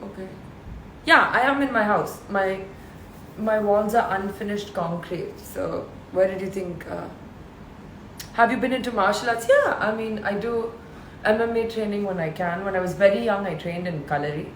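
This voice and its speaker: A woman in her thirties with a deep voice, chatting casually and reading fan questions aloud on a live stream from her home. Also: moderate pace, reverberant, slight background noise, rough recording. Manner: chatty, reads comments aloud and answers them. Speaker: live stream host answering fans. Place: her house with bare concrete walls. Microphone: phone livestream.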